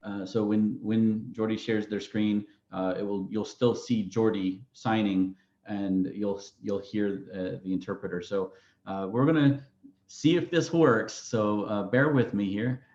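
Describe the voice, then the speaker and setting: Slow, low-voiced man on an echoey call. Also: steady tone, a little mellow. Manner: halting, many fillers. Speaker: showcase moderator. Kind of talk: introducing a presenter on a video call. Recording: echoey, muffled video call audio.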